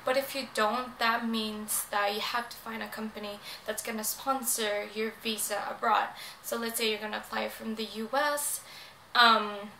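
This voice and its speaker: Young non-native English-speaking woman, earnest chatty vlogger, even tone. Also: fairly high pitch, vocal fry. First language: not English. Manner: chatty, many ums, earnest. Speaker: vlogger giving advice. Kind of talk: casual advice vlog. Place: room in Japan. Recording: echoey room, faint noise.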